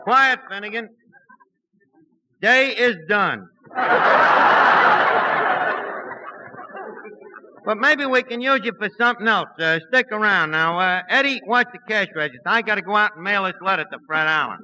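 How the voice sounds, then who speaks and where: Brash, sharp, high-voiced tavern schemer. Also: male voice, a little fast, rough recording. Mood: bossy. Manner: uneducated wise-guy talk, bad grammar. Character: brash, scheming, small-time tavern manager. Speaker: comic lead in a radio sitcom. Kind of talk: 1940s radio comedy acting. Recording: old 1940s radio recording, light noise.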